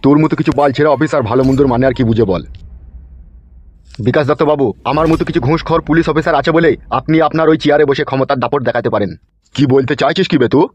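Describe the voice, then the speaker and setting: Cartoon official, male dubber: slightly high, quick, coarse and argumentative, dull lo-fi sound. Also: a little hiss or hum, natural voice. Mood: quarrelsome. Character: foul-mouthed, quarrelsome cartoon politician and bribe-taking policeman. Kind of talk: comedy cartoon dubbing. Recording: dull lo-fi audio.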